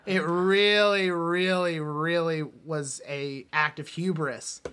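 A man's voice: on the high side, fairly even intonation, takes it slowly, sharp tone, smooth. A man co-hosting a podcast, chatting casually about film and television. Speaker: podcast co-host.

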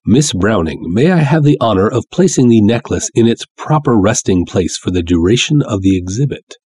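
A man voicing a small, formal, deferential Egyptian gentleman with flowery politeness in a pulp story reading. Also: lowish voice, expressive, normal speed, breathy edge, no room sound. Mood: courtly. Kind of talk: dramatic reading of pulp fiction.

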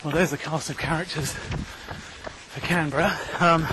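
A man's voice: on the high side, fairly even intonation, unhurried. A man hosting a podcast, chatting casually while running outdoors and a little out of breath. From Australia. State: panting from running. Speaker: podcast host. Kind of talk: casual running vlog monologue. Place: outdoors, running on hilly streets. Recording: loud outdoor background noise.

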